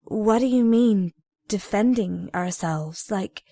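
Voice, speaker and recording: A woman's voice: mid-range voice, slow, dark tone, dry recording, studio quality. An actress playing a reserved teenage girl, a new student, hesitant and questioning, in an audio drama.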